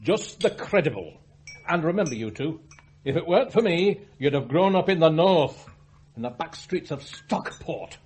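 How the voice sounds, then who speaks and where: A man's voice: fairly high pitch, lively intonation, normal speed, darkish tone, husky. An actor playing a domineering, blunt self-made tycoon and father in a radio drama, brusque and grumbling.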